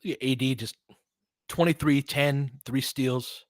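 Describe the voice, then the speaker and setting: A man's voice: mid-range voice, a little slow, no room sound. A man giving informal, partisan live basketball commentary as a host, reacting openly to the plays like a fan.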